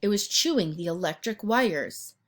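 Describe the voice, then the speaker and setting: Female storyteller, very animated pitch, mid register, reading a picture book to young listeners. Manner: pauses to ask the listener questions and point out pictures. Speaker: read-aloud storyteller. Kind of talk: expressive children's picture book narration.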